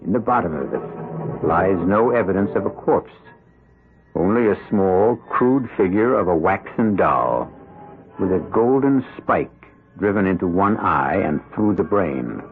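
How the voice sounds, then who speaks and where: A man narrates a scripted radio chiller with measured, ominous delivery. His voice is low and creaky. It comes from a dull vintage recording with music behind it. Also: lively intonation. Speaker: narrator and host. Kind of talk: scripted dramatic horror narration.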